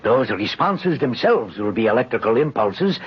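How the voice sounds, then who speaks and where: A man's voice: medium pitch, sing-song, normal speed, husky. An actor playing a proud, commanding inventor and father in an old radio drama, with a formal, emphatic delivery. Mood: focused. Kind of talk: 1950s radio drama acting. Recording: muffled old radio recording, loud music.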